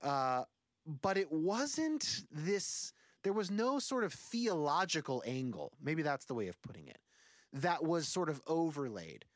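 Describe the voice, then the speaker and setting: A man's voice: fairly high pitch, very animated, unhurried, dry recording, clean studio sound. A man in his forties, a musician guest on a podcast, talking casually in a rambling, thoughtful way with many fillers. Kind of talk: casual conversation.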